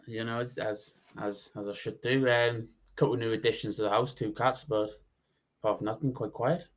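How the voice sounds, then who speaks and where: A man's voice: mid-range voice, steady tone, brisk. A man co-hosting a podcast, giving brief, understated answers. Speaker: podcast co-host. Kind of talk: casual podcast chat.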